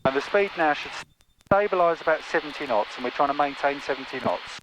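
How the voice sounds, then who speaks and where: A man giving live flight instruction in a calm, encouraging, coaxing manner. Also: a little high, a little fast. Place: small training aeroplane cockpit in flight. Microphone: aviation headset intercom. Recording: noisy light aircraft cockpit, radio headset. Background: loud engine drone.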